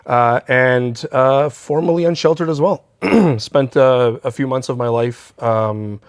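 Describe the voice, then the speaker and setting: Male guest from Chile, candid and measured, mid pitch, dry close sound. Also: no echo. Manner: open, measured, speaks frankly about recovery. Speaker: community safety consultant. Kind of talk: candid interview conversation. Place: theatre stage, live show.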